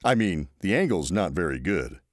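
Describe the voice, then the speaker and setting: A man's voice: low-pitched, moderate pace, dry recording, studio quality. A man doing a stern, dogged police officer. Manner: flat, authoritative questioning.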